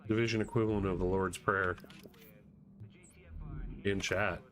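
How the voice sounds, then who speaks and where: A man's voice: low-pitched, little pitch movement, unhurried, a bit bright. A man chatting casually and in a rambling, easygoing way while live streaming a video game. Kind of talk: casual chat while gaming. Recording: clear background noise and game ambience.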